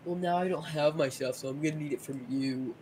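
A man's voice: fairly high pitch, a little fast, a little mellow, low-quality recording. Young man, gamer, voice chat, offhand complaining, faint noise. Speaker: player on a game server. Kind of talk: casual gaming chat with friends.

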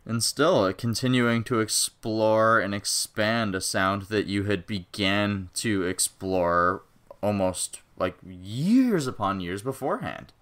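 A man's voice: on the low side, slow, a bit bright. A man interviewing a musician, admiring and effusive, asking long musing questions.

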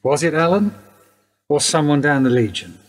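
A man's voice: on the high side, lively intonation, normal speed. A man playing a shrewd, dry, understated older police sergeant in a radio play. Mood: dry, knowing. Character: world-weary, shrewd male detective sergeant near retirement. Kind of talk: naturalistic radio drama acting.

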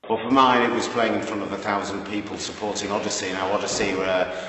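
A man's voice: fairly low pitch, steady tone, quick pace, pushed and bright. A middle-aged man, a DJ, chatting proudly about a big show, filmed from a distance in a large room. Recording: roomy echo, mic far off.

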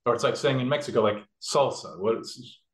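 A man's voice: medium pitch, brisk. A man demonstrating a recipe in a kitchen, explaining each step casually with many fillers and restarts. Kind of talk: casual cooking demo. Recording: echoey room.

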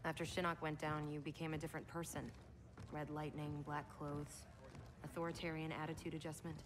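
A woman playing a young, tough, wisecracking military commander in a video game cutscene, with a sardonic, brisk manner. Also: deep voice, little pitch movement, noisy background, close to an everyday voice.

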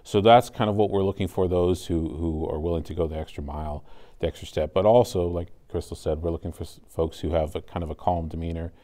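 American man, deep relaxed voice, interview guest giving explanations. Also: fast talker. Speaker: 911 communications supervisor, interview guest. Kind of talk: explanatory studio interview answers. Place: indoor TV studio at a desk.

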